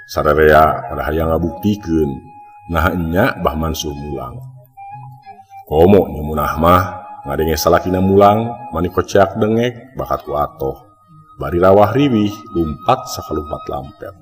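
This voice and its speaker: A low-voiced man with a Sundanese accent narrates a mystery tale in a dramatic storyteller's style. His voice rings with long reverb, and music plays behind him. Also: wildly swooping pitch, unhurried.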